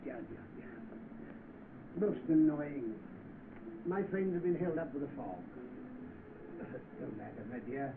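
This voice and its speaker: An actor with a British accent playing a scheming, lecherous rich gentleman in an old film, pompous and oily. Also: a little high, lively intonation, takes it slowly, long pauses. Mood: oily, coaxing. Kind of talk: vintage film acting. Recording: tinny, noisy old film soundtrack.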